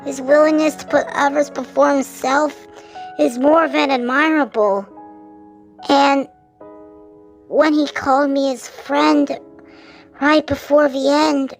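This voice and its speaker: A woman playing a kindly, caring steam engine in a children's story, speaking earnestly and haltingly with emotion. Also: high voice. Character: kindly female tank-engine character, grieving, earnest and caring. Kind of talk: children's story audio drama. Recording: music behind the voice.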